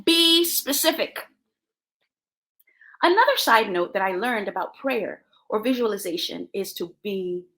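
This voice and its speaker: High, animated woman with bright delivery. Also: gravelly, low-quality recording. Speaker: host of a self-help show. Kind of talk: motivational talk with personal stories, addressed to viewers.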